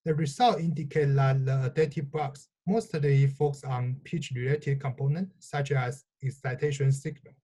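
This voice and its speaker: Male research presenter, scripted and halting. Mellow, husky tone. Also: medium pitch.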